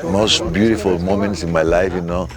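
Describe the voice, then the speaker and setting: A paragliding instructor, a man, speaking in heartfelt, halting English in a documentary interview. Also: low-pitched, steady tone, crowd noise.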